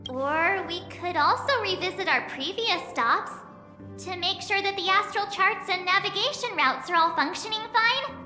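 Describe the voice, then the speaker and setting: A woman's voice: extremely high pitch, moderate pace, pushed and bright. An actress voicing an upbeat young woman on a spaceship crew, in a chirpy, eager manner. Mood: curious. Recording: echoey reverb with game music.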